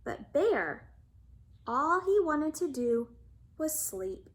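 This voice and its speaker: A woman storyteller reading a bedtime story aloud to children with expressive narration. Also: sits high, drawn-out words.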